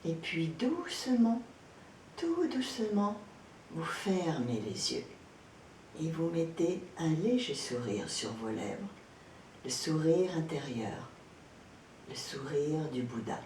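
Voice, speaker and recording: A woman leading a guided yoga relaxation as an instructor, speaking calmly and gently with frequent pauses. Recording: roomy echo, distant mic, background noise, rumble.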